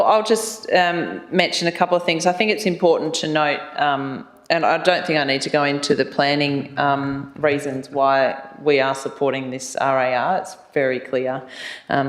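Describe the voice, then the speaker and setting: Flat, formal meeting chair. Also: medium pitch. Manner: measured and formal, with frequent ums. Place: council chamber. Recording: echoey council chamber.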